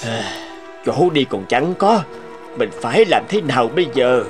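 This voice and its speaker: A man voicing a harried old peasant patriarch in an animated folktale, complaining with exasperation. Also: mid-range voice, moderate pace, music in the background, close to an everyday voice. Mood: despairing. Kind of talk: Vietnamese animated folktale dubbing.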